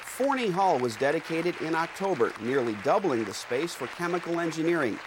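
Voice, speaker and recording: A man's voice: a little high, big pitch swings, a little fast, a crowd in the background, noisy background. A man reading scripted news narration as the narrator of an institutional newsreel.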